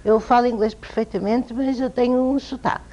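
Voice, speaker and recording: A woman with a European Portuguese accent tells stories as an interview guest, lively and amused at herself. Her voice sits a little high, and the recording is dull and lo-fi. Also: a little fast. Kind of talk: anecdotal interview storytelling. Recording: dull lo-fi TV sound.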